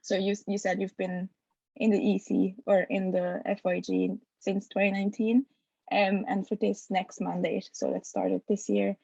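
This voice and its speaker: Gravelly-voiced woman interviewer, dull dry sound. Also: medium pitch, flat delivery, normal speed, warm tone, dry recording. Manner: hesitant, friendly questioning with fillers. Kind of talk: casual interview questions.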